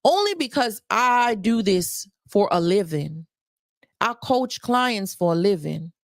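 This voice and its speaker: A woman's voice: medium pitch, lively intonation, slow pace, no room sound, clean studio sound. A woman life coach giving advice on a livestream, emphatic and repeating points for stress.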